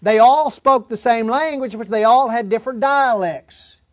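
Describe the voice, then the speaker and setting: A man's voice: extremely high pitch, normal speed, dry recording. A man preaching a verse-by-verse Bible lesson in an emphatic, folksy, plain-spoken way. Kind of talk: Bible-teaching sermon, verse-by-verse reading and explanation.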